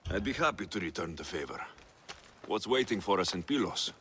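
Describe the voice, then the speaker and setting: An actor playing a determined, wry young Spartan warrior in a video game, speaking in a terse, dry way. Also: male voice, low voice, expressive, brisk, bright, forceful tone, husky, full character voice. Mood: calm, curious. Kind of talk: video game dialogue acting.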